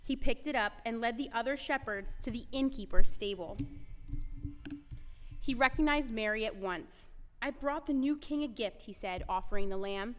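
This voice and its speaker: A woman reads a children's story as narrator. Her voice is a little high, with a reverberant tail on the sound. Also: moderate pace, a bit bright.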